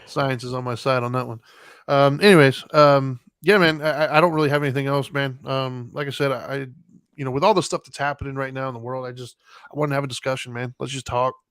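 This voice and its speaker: American male podcast host, average pitch, informal plain-spoken delivery, opinionated chat, very little room sound. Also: steady tone, quick pace, a bit bright, clear, resonant tone, no echo, studio quality. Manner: informal, plain-spoken, often says "man".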